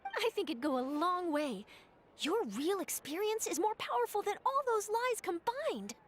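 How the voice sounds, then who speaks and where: A woman's voice: very high voice, sing-song, moderate pace, a little hiss or hum. A woman playing a polite, keen, inquisitive young female reporter in a video game. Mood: keen, encouraging.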